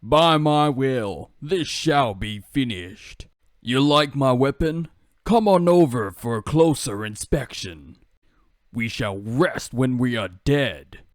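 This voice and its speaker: A man's voice: mid-range voice, expressive, slow, no echo, clean studio sound. A man voicing a proud, confident heroic fighter from a video game, bold and declamatory. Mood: proud, cocky. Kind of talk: video game character impression.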